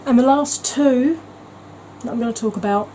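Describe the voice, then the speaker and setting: A woman's voice: fairly high pitch, normal speed, dark tone, a little hiss or hum, rough recording. A woman talking in a chatty, gushing way as a beauty vlogger, with frequent ums. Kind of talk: casual vlog chat.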